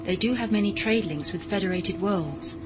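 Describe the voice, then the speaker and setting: A woman's voice: mid-range voice, moderate pace, highs cut off, close to an everyday voice. An actress playing an obedient, emotionless bionic woman who speaks formally and precisely in a science fiction audio drama. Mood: detached. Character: obedient, emotionless bionic female servant with erased memory.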